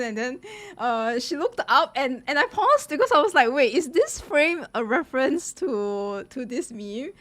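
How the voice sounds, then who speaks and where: A woman's voice: a little high, a bit bright. A woman giving casual, gushing reaction commentary as a streamer, chatting to viewers with lots of slang. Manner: gushing, slangy, addresses viewers as guys and bro. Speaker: streamer reacting to a game trailer.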